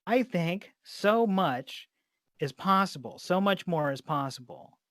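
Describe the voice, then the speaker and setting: A man's voice: high voice, lively intonation, slow pace, a bit bright, no room sound, studio quality. A man hosting a podcast in a casual, informal way, with plenty of swearing. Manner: informal, profanity-laced. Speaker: podcast host and interviewer.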